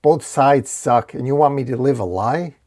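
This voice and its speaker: A man's voice: medium pitch, moderate pace, darkish tone, lightly acted. A man voicing an exasperated, sarcastic half-dwarf, half-orc outcast in a comic fantasy story. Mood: weary, defiant.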